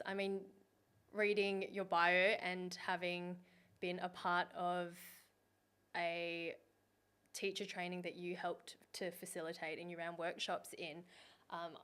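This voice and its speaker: Thoughtful woman host, low voice. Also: a bit bright, clean tone. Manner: thoughtful, meandering questions. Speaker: podcast host. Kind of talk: interview questions. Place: in Bali.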